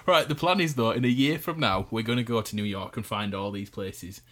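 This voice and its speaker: A man's voice: fairly low pitch, fairly quick, a bit bright, slight background noise. A man hosting a casual chat, playful, laughing often and apologetic. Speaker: podcast host.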